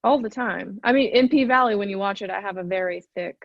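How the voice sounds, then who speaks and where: A woman's voice: mid-range voice, fast, no room sound, muffled recording. A woman from Arkansas with a light Arkansas accent, an actor chatting easily as an interview guest. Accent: light Arkansas Southern. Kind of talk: casual interview chat.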